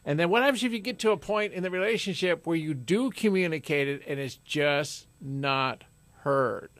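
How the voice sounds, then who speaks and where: A middle-aged man hosting a radio talk show in a studio, talking loudly and forcefully with jokes. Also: on the high side, animated, normal speed, dry recording. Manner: loud, forceful, joking.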